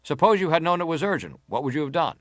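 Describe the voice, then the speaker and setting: An actor playing an insistent senator who leads a formal inquiry, questioning in a pressing, formal manner. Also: male voice, fairly high pitch, very animated, fast talker, no room sound, clean studio sound. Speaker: actor reading testimony. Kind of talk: dramatized reading of hearing testimony.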